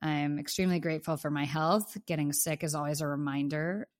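A woman's voice: low-pitched, steady tone, brisk. A woman co-hosting a casual podcast while sick with the flu, chatty and grateful. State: sick with the flu, may cough. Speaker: podcast co-host. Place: home in Los Angeles.

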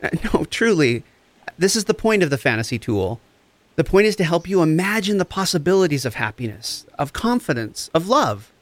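An actor playing a gentle, encouraging empath therapist, speaking in a reassuring, deliberate way in a scripted audio drama. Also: male voice, on the high side, sing-song, no echo. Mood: tender, encouraging. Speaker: actor in a fiction podcast.

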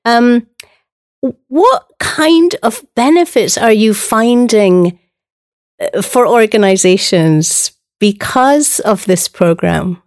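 A woman's voice: on the high side, lively intonation, slow pace, a little mellow, no echo, studio quality. A woman from Hawaii hosting an interview, asking chatty, conversational questions. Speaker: interview host.

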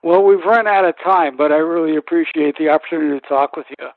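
A man hosting a conversational radio interview, putting polite, halting questions, heard through a narrow-band channel. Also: fairly high pitch, no room sound.

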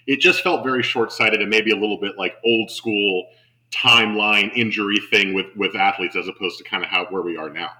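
A man with a very bright, forceful voice on the lower side talks sports as a broadcaster. He is conversational and enthusiastic, breaking the game down in a casual analyst's style. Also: fairly even intonation, brisk, rough recording. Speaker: sports podcast host and basketball broadcaster.

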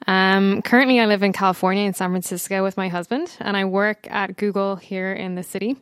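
A woman's voice: medium pitch, steady tone, fairly quick, clean tone, dry recording, clean studio sound. A woman in her thirties from Dublin with an Irish accent, chatting easily as a podcast guest about home. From Ireland. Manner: chatty, warm storytelling with fillers. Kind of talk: casual interview chat.

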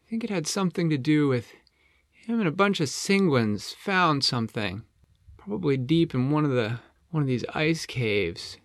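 A man's voice: on the high side, animated, normal speed, dry recording, clean studio sound, voice pushed up. A man voicing a friendly, easygoing male penguin in a children's story, chatty and helpful, with folksy hesitations. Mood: chatty, explaining. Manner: chatty, hesitant "uh" and "you know", reassuring.

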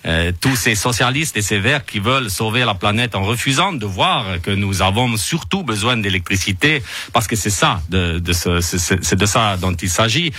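A blustering party leader, put on by a male comedian in a satirical radio interview. He is forceful and indignant, halting and stumbling in a language that is not his first. The sound is dry and close. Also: fairly low pitch, expressive, breathy edge, no echo, exaggerated voice. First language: not French. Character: blustering right-wing party president struggling with French. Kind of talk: satirical political interview sketch.

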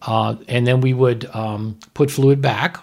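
A man's voice: mid-range voice, steady tone, takes it slowly, creaky. A man hosting a casual podcast discussion as a physician, explaining medical points in a conversational way. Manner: conversational, explanatory, checks with "right?". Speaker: host, fetal surgeon reviewing a TV episode.

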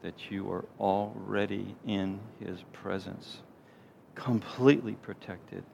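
Low-voiced man leading a gentle guided meditation. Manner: gentle, unhurried, soothing delivery with pauses. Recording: clear background noise, low rumble.

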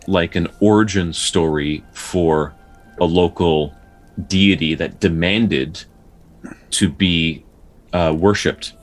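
Male podcast co-host, very low voice, casual but studious folklore explainer with a halting, word-repeating delivery. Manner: halting, with repeated words and stutters. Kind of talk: casual explanatory discussion of folktales.

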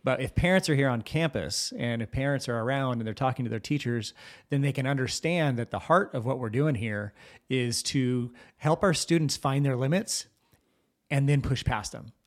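A man's voice: medium pitch, normal speed. A man hosting a school podcast, talking in an earnest, motivational and somewhat rambling way. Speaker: podcast host, school administrator. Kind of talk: casual interview talk.

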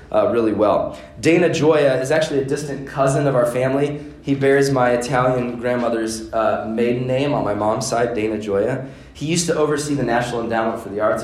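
A man's voice: mid-range voice, a little mellow. Earnest man teaching parents. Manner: earnest, building to emphatic repetition. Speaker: seminar speaker on education. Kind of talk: workshop talk to parents. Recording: echoey room.

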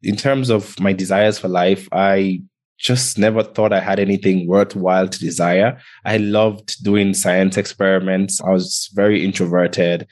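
A man's voice: deep voice, steady tone, measured pace. A young man from Antigua, a podcast guest telling his life story in a reflective way, often chuckling. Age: young adult. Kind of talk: casual interview storytelling.

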